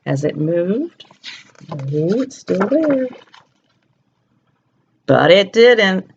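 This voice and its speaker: A woman reads a children's story at an unhurried pace and a middle pitch. She is expressive and turns to her young listeners with questions. The sound is a little dull and lo-fi. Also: gravelly, a little hiss or hum. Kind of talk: reading a picture book aloud to young children.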